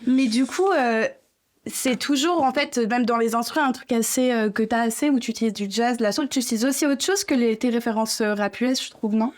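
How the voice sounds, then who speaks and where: High-voiced woman interviewing casually. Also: fairly quick.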